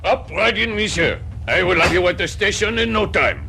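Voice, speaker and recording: An actor voicing a cheerful, reassuring taxi driver in a radio drama. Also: male voice, high voice, lively intonation, moderate pace, vocal fry, pushed and bright, slight background noise, pitched above the performer's own voice, heavily acted. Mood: breezy.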